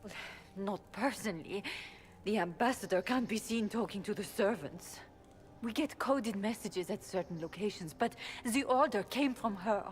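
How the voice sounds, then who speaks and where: 女優が演じる 警戒心の強いエルフの女召使い. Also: female voice, medium pitch, natural voice. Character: wary, bitter elven servant woman. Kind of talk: fantasy video game voice acting.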